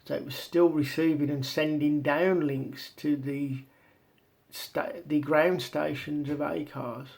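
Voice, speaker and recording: A man giving informal explanatory commentary as a video presenter, talking in a halting, step-by-step way. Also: a little high, fairly even intonation, vocal fry, darkish tone. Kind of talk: informal explanatory commentary over on-screen maps and documents.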